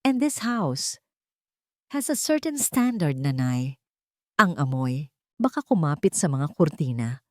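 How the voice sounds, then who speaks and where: A woman's voice: low-pitched, sing-song, slow pace, pauses often, darkish tone, dry recording, heavily acted. Snobbish, condescending wife for audio drama, played by a female narrator; clipped, falsely polite, mixing in English, dry sound. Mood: cold, firm. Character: cold, snobbish young wife feigning sweetness to mother-in-law.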